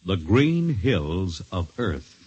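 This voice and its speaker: A man's voice: deep voice, very animated, drawn-out words, dull audio. A man with an American accent announcing a radio drama in a dramatic, declamatory 1950s style. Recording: 1950s radio recording with music.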